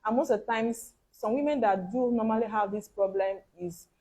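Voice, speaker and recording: A woman's voice: a little high, moderate pace, dark tone, poor audio. A woman giving an instructional health talk on fertility, repeating key points and checking in with listeners.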